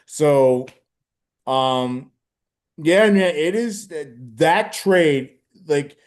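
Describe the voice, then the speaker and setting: Easygoing man, halting sports chatter. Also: a little high, lively intonation. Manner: halting, filler-heavy, easygoing. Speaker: podcast co-host. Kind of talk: casual sports talk.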